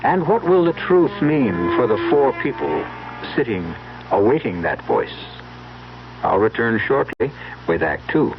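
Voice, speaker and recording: A man's voice: medium pitch, big pitch swings, measured pace, creaky. A man narrating suspense as a radio drama host, with a dramatic, ominous manner. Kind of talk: 1970s scripted suspense narration. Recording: dull lo-fi old radio broadcast. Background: loud music.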